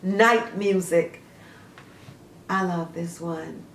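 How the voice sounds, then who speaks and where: A woman's voice: lowish voice, very slow, a little hiss or hum. A woman hosting a book series, gushing about stories and reading excerpts aloud with frequent fillers. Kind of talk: casual book review with read-aloud excerpts. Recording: roomy echo.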